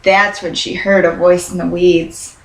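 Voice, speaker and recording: A woman reading a children's picture book aloud in a careful, expressive storytelling manner. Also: on the low side, unhurried. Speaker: storybook reader. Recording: roomy, echoing sound.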